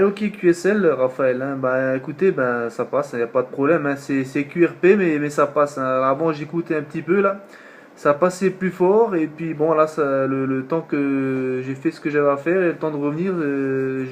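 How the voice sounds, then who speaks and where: A man with a slightly high voice makes casual radio contact talk as an amateur operator from northeastern France. He repeats himself and ends phrases with little tag words. Clear noise and hiss sit behind him. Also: moderate pace. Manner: repetitive, with frequent tag words ending phrases.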